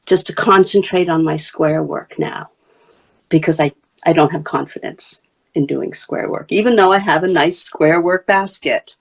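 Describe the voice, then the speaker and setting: A woman from Wales, a basketry artist and teacher, chatting in an interview while she shows and explains her work. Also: fairly low pitch. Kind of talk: casual interview talk.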